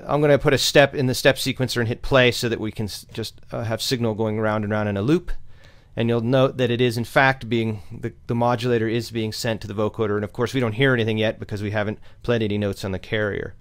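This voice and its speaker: A man presenting a step-by-step software tutorial in an explanatory, instructional way. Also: medium pitch, fairly even intonation, a little fast.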